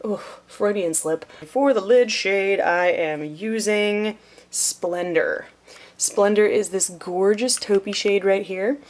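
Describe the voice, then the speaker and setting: A woman's voice: medium pitch, a little mellow. A young woman talking through a makeup tutorial in a chatty, playful way, sometimes dropping to a near whisper as she works. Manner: chatty, playful, self-deprecating. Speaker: beauty vlogger.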